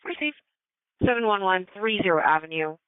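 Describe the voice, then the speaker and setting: A man with a high, smooth voice relays dispatch messages. He is heard over a dry two-way radio with a narrow, tinny band. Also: lively intonation, brisk, no echo.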